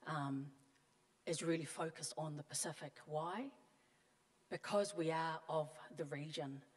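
A middle-aged woman from Tonga, giving a measured, earnest panel speech on stage into a handheld mic. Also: sits low. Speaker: panelist, member of parliament. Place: large conference hall on stage. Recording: long hall reverb.

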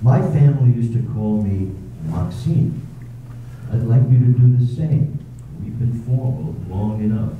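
A man's voice: mid-range voice, unhurried, slight background noise, lightly acted. Guy doing a curt rich gent in a radio play, dry and teasing, echoey hall, thin phone-like sound from far off. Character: brusque wealthy older gentleman proposing. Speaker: amateur readers theater actor. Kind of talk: staged radio drama reading.

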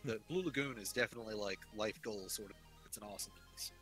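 Bright-voiced young man chatting over music. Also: fairly low pitch, fast talker. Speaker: player in an online game session. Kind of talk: casual chat and storytelling while gaming.

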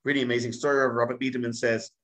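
A man in his forties, a rabbi, teaches an online class from his study. He talks in an animated, emphatic way over a webcam on a video call. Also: mid-range voice, racing. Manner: animated, emphatic, gesturing a lot. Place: home study with bookshelves, indoors.

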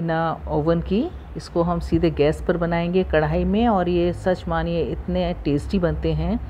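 A woman hosting a cooking channel, calmly talking through the steps of a recipe in her home kitchen, recorded on a phone. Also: lowish voice, lo-fi sound, noisy background. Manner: calm, instructive, repeats steps. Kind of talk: step-by-step recipe voice-over.

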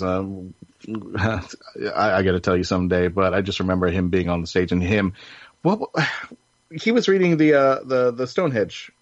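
A man hosting a casual panel podcast, talking in a halting, jokey way. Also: deep voice, dry recording, lo-fi sound. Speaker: podcast host.

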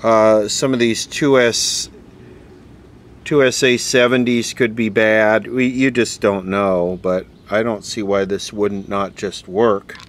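Guy mumbling to himself while fixing stuff, really slow talker, kinda low voice, bit of noise behind him. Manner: muttering, thinking aloud. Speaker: host repairing vintage electronics on camera. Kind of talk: casual off-the-cuff repair commentary. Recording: steady background noise. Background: steady background noise.

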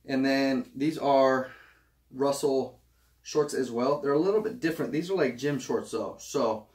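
A man's voice: mid-range voice, a little slow, a little mellow. A young man from Utah chatting casually to camera in a small home office, rambling with fillers and restarts as he shows gym clothes. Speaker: vlogger. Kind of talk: casual try-on haul chat.

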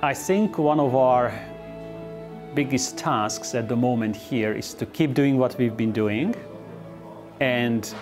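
Middle-aged man, earnest interviewee, mid-pitched, lapel mic, soft music underneath. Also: slow. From Hungary. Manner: earnest, upbeat, with small restarts. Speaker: interviewee, Olympic organizing expert. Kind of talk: relaxed interview talk. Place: indoor office.

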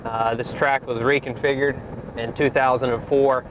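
A young man, a race car driver, casually explaining a track while driving, relaxed with many fillers and restarts. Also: medium pitch, normal speed. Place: inside a car on a racetrack. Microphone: in-car camera. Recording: muffled car-interior audio with road noise.

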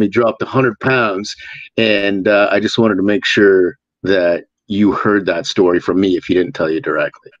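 A man's voice: fairly low pitch, no echo. A man in his forties hosting an interview over a video call from home, upbeat and encouraging. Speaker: podcast host. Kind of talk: casual interview. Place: home room. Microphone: video call webcam. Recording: dull, dry webcam audio.

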